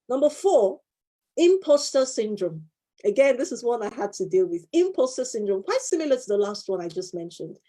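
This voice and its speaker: A woman's voice: high voice, dark tone. A woman in her fifties, a life coach and former solicitor, giving an earnest motivational talk and repeating her key phrases for emphasis.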